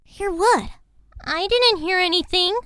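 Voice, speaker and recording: A woman's voice: very high-pitched, measured pace, fairly bright tone, no room sound. A woman voicing a small, plucky but jumpy cartoon filly pony in an audio drama. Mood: uncertain. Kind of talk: cartoon fan fiction audio drama acting.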